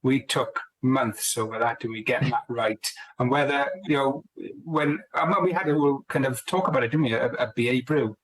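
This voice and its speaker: A man's voice: mid-range voice, normal speed, hardly any pauses, poor audio. A man co-authoring a book, chatting casually in an interview and often restarting his sentences. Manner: rambling, restarts sentences, friendly. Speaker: co-author guest.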